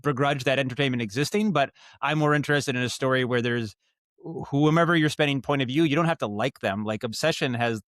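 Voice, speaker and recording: A man's voice: medium pitch, quick pace, fairly bright tone, dry recording, studio quality. A man giving casual interview answers as a guest, thinking aloud in a rambling, thoughtful way and often rephrasing. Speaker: podcast guest, writer and audio drama director.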